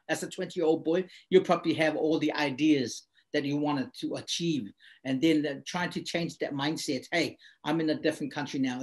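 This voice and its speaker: A middle-aged man from Samoa speaks in an interview, with English as his second language. His voice sits a little high for a man, and he comes back to his points again and again, in a reflective, earnest way. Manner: reflective, repeats phrases for emphasis. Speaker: guest.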